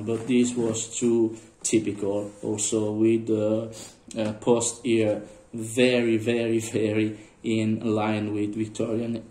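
A man with a cold talks in a hoarse voice, pausing often and clearing his throat. His non-native English comes slowly. The room echoes and faint noise sits behind him. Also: lowish voice, a little mellow. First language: Italian. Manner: halting, many fillers and throat clearing. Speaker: vlogger. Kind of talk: casual unboxing commentary. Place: indoors at a table.

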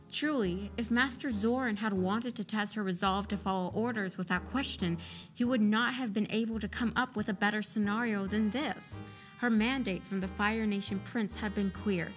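A woman narrator reading scripted third-person fiction for an audio drama. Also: mid-range voice.

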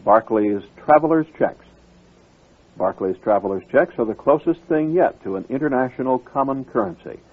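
Husky male radio announcer, phone-like sound. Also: medium pitch. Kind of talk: scripted ad and programme announcements.

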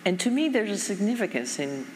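A woman's voice: medium pitch, sing-song, a little mellow. A middle-aged woman from Japan, head of a foundation, talking thoughtfully onstage with many fillers. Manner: thoughtful, measured, frequent fillers. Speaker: foundation president. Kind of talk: onstage conversation. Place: large conference stage. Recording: reverberant hall, faint noise.

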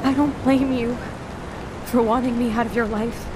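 A woman's voice: a little high, steady tone, moderate pace, natural voice. A woman playing a blunt, loyal rebel girl. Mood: rueful. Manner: blunt, swearing, protective. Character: rebellious blue-haired young woman, loyal best friend. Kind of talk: video game voice acting. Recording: game audio with loud storm noise.

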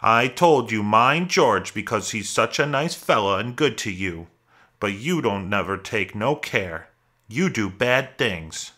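A man voicing a stern little old woman in a storybook, an aunt who scolds in sharp, nagging rustic talk. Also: fairly low pitch, expressive, unhurried, heavily acted. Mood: disapproving.

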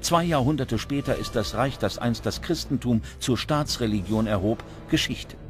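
Male narrator, scripted documentary delivery, medium pitch, clear music bed. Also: normal speed. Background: background music.

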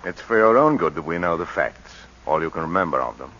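An actor playing a lawyer firmly questioning a client, in 1940s radio acting. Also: male voice, sits low, lively intonation, a little fast, a bit bright, full character voice. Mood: insistent. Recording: muffled 1940s radio broadcast.

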